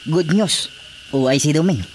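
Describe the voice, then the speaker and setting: An eager, sly little informant acted by a man in a comedic radio drama. His voice sits very high for a man, and his manner is deferential and keen, with faint noise behind it. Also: a little slow, lightly acted. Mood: eager, conspiring. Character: eager, sly village informant, comic underling.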